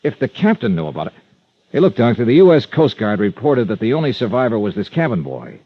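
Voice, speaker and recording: An actor playing a hard-boiled, impatient American investigator, brisk and blunt, in the style of old radio detective drama. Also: male voice, medium pitch, wildly swooping pitch, breathy edge. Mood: alert, reasoning. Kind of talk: 1940s-50s radio detective acting. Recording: dull vintage radio recording.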